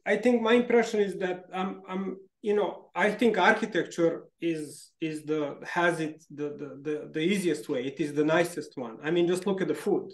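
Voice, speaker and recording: A man's voice: a little high, fairly even intonation, moderate pace, few pauses. Guy hosting a chat, kinda rambly, stops and restarts a lot, echoey room. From Serbia. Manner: rambling, hesitant, lots of restarts. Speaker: interviewer, podcast host. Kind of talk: casual conversation.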